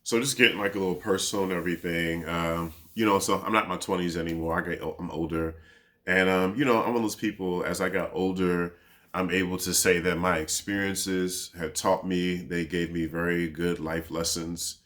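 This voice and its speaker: A man in his thirties with a deep voice, talking through a personal reflective monologue in a laid-back, rambling way full of fillers. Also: fairly even intonation, moderate pace, a bit bright. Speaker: podcast host.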